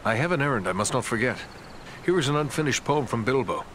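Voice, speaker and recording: An actor playing a dutiful, courteous ranger in a fantasy game, with a formal, earnest way of speaking. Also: male voice, mid-range voice, lively intonation, fast, slight background noise, lightly acted. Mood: earnest, respectful. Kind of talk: scripted fantasy game dialogue. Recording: loud music over the dialogue.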